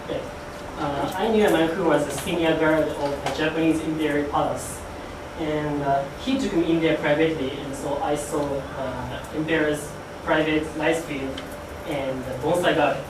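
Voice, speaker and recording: A man's voice: a little high, steady tone, moderate pace. A young man reciting his part in a rehearsed classroom role play. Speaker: student presenter in role play. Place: indoor room, recorded at a distance. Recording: very echoey room, distant mic, noisy.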